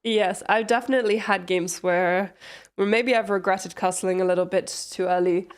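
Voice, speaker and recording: A woman's voice: mid-range voice, steady tone, normal speed, clear, resonant tone. Woman commentating chess moves. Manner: chatty, explaining moves, light laughs.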